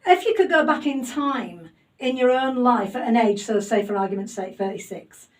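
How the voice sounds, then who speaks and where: A woman's voice: fairly high pitch, animated, normal speed, recorded from a distance. A woman hosting a homemade podcast interview in her loft, chatty and self-deprecating with plenty of fillers. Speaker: podcast host. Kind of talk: casual interview chat. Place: home loft.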